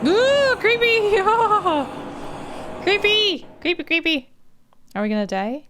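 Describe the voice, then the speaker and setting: A woman's voice: very high voice, animated, slow, background noise. A woman hosting a gaming video, giving casual, reactive commentary and chatting with viewers. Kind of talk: casual let's play commentary. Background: music.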